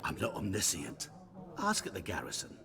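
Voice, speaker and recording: An actor playing a sly, theatrical male vagrant merchant in a video game, with a creaky voice and a florid, teasing, mock-humble manner. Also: low-pitched, wildly swooping pitch, moderate pace, noisy background, heavily acted. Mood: wry.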